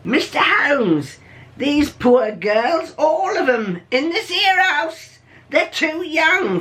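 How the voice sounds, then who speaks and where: A plain-spoken London madam from a storybook, acted out by a woman reading aloud. She speaks high and slowly, leaning on her words as she laments in a worried, weary tone. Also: pushed and bright, full character voice. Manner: slow, lamenting, emphatic.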